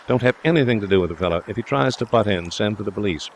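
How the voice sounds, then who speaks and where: A man voicing a breezy, confident young gentleman suitor in an audiobook, speaking in a jaunty, reassuring, chatty way. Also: on the low side, lively intonation, rapid-fire, a little hiss or hum. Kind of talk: audiobook character dialogue.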